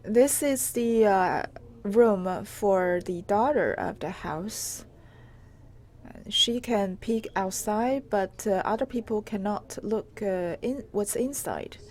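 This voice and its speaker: A woman reporter giving an unscripted live tour on location, in a halting, hesitant way with pauses mid-phrase. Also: medium pitch, a little mellow. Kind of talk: unscripted live walk-through narration. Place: on location inside an old house. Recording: clearly noisy on-location live recording.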